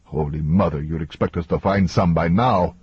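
A man's voice: low voice, normal speed, breathy edge, no echo, lo-fi sound, voice pushed down. A man voicing an exhausted, impatient man who leads a desperate covert mission in an audiobook. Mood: weary, frustrated. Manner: demanding, impatient, swearing. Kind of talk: audiobook character dialogue.

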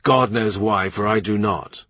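A man's voice: fairly low pitch, a little slow, fairly bright tone, no echo. A man voicing a middle-aged king, blunt and boastful, with a scornful, brooding manner, in a storybook reading.

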